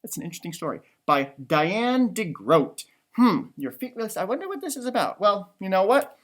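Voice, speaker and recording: A man hosting a storytime for children, reading and chatting in a theatrical, halting, conversational way. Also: high-pitched, big pitch swings. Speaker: storytime host and teacher. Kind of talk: children's storybook read-aloud with chatty asides.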